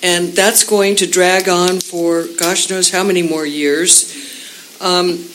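Measured cautionary woman at a meeting. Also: lowish voice, steady tone, fairly bright tone, a little hiss or hum, rough recording. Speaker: public commenter. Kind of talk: public comment at a community meeting.

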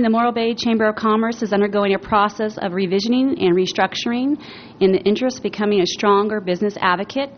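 Earnest woman addressing a board from the podium mic, muffled meeting audio with faint hiss. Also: mid-range voice. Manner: earnest, with frequent ums. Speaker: chamber of commerce president giving public comment. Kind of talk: formal public comment at a meeting. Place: public meeting room. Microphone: podium microphone.

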